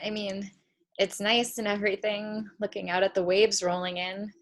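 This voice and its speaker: A woman's voice: mid-range voice, little pitch movement, moderate pace, close to an everyday voice. A woman playing a guarded, candid young woman on an awkward date in a play table read, speaking frankly and with measure.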